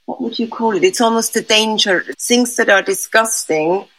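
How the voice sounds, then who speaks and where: A woman's voice: on the high side, normal speed, a bit bright, poor audio. Hesitant raised woman sculptor. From Switzerland. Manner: hesitant, many restarts and fillers, thoughtful. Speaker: sculptor, interview guest. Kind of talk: conversational interview answers.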